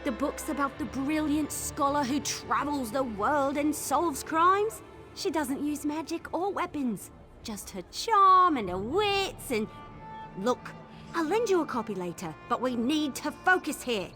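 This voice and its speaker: Young female would-be detective, high voice, very lively, chatty, overconfident, video game, music behind. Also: a little slow. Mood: eager, conspiratorial. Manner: chatty, conspiratorial, excitable. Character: eager, overconfident young female amateur sleuth adventurer. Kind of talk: video game character acting.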